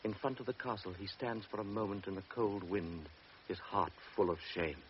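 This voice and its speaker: A man narrating a radio drama in a measured, even storytelling manner. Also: fairly low pitch. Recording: dull old radio broadcast, faint hiss.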